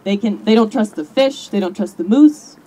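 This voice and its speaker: A woman's voice: mid-range voice, moderate pace, dark tone, rough recording. A woman speaking at an outdoor rally, talking in a conversational way with frequent fillers. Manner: conversational, many "you know" fillers. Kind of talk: rally speech.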